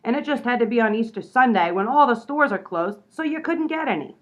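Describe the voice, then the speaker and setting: A woman's voice: fairly high pitch, lively intonation, brisk, close to an everyday voice. Woman playing an exasperated, scolding gangster moll. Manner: nagging, slangy old-time gangster talk. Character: exasperated, scolding tough female gangster sidekick. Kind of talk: comedy radio play acting.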